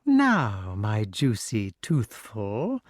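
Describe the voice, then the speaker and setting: A storyteller voicing a gloating old witch, crooning with mock sweetness and menace in exclamatory, drawn-out words. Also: medium pitch, wildly swooping pitch, no echo, clean studio sound, full character voice. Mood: gloating relish.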